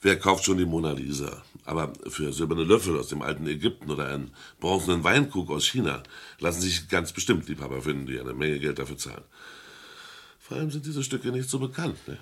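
An actor playing a formal, discreet middle-aged auction-house partner in a German radio crime play. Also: male voice, extremely low pitch, lively intonation, brisk, a bit bright, heavily acted. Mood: grave, confiding. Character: courteous, measured male London auction-house partner.

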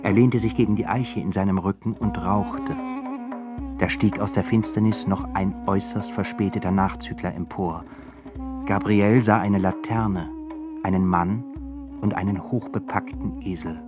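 A man narrating a radio play in a measured, formal literary reading. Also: on the low side, steady tone. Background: music.

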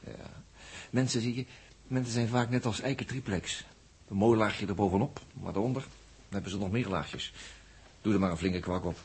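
An actor playing an easygoing, wry spaceship mechanic in a 1960s radio drama, musing aloud in a colloquial, halting way. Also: male voice, fairly low pitch, darkish tone, slightly rough. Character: easygoing, wry male spaceship mechanic, philosophizing and self-mocking. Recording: noisy old 1960s radio drama recording.

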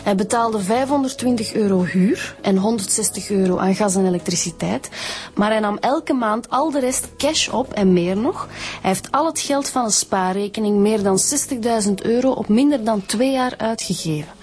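A woman's voice: mid-range voice, normal speed, darkish tone, lightly acted. An actress playing a precise female police inspector in a radio drama, polite and factual. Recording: faint music behind.

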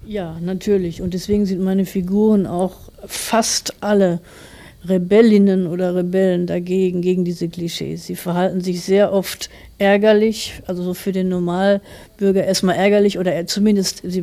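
A woman's voice: lowish voice, fairly even intonation, normal speed, dark tone. A woman of forty, an author answering interview questions in a reflective, careful, explanatory way.

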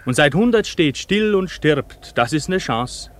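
Skeptischer bayrischer Moorinspektor, lebhaft betont. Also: male voice, on the high side, expressive, a little slow. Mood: matter-of-fact, skeptical. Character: brisk, skeptical moorland inspector. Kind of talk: German radio drama acting. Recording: faint outdoor ambience with crows.

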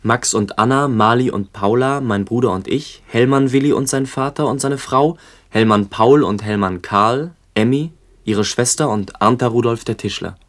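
A man's voice: mid-range voice, normal speed. A man reading a scripted literary radio narration in a plain, sober way.

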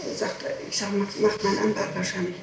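A woman's voice: mid-range voice, little pitch movement, a little fast, gravelly. A woman being questioned as a suspect, answering with emphatic, repeated protests on an old interrogation tape from the 1960s. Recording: noisy, echoey, distant 1960s tape recording.

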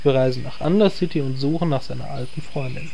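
An actor playing a cocky, sensible party leader in a parody audio drama, dry and matter-of-fact. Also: male voice, medium pitch, fast, creaky, dark tone, a little hiss or hum, close to an everyday voice.

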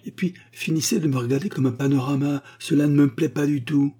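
A man's voice: on the high side, fairly even intonation, fairly quick, dark tone, breathy edge, pitched above the performer's own voice. Man putting on a peevish ancient mummy. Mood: irritated. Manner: scornful, peevish scolding. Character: irritable ancient Egyptian male mummy, haughty and insulting.

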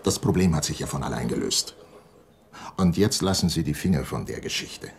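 Very low-voiced intimidating security boss. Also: male voice, animated, moderate pace, creaky, full character voice. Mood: menacing, matter-of-fact. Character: curt, intimidating male plant security boss. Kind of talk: German radio crime drama.

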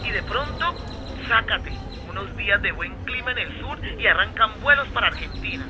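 Radio drama role: restless, warm-hearted Colombian woman traveller, played by an actress, playful and chatty, noisy street ambience. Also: medium pitch, expressive, normal speed, lightly acted. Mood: tender, longing. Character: adventurous, restless, affectionate young Colombian woman stranded while travelling. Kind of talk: radio drama acting, conversational storytelling. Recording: remote recording with clear traffic noise.

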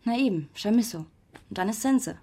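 A woman's voice: mid-range voice, animated, fairly quick, noisy background, close to an everyday voice. A young woman playing a guarded, earnest student in a radio play, speaking in a colloquial, somewhat defensive way. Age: young adult.

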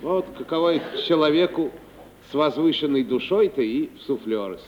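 A man's voice: a little high, lively intonation, brisk, lightly acted. An actor playing a shabby, chatty wandering male comic in a 1950s radio play, meek, deferential and plaintive. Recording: dull, faintly noisy 1950s radio recording.

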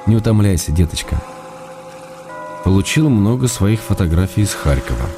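Guy acting a loving wry husband reading his letters, deep quiet voice, radio drama with music under it. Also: animated, moderate pace, close to an everyday voice. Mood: wry, calm. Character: tender, wry ailing writer husband writing love letters. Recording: music behind the voice.

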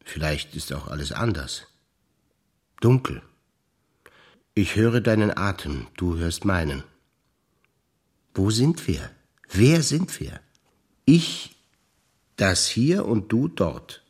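An older man performing scripted stage dialogue, measured and reflective, often sinking to hushed low phrases. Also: big pitch swings, husky. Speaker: stage actor.

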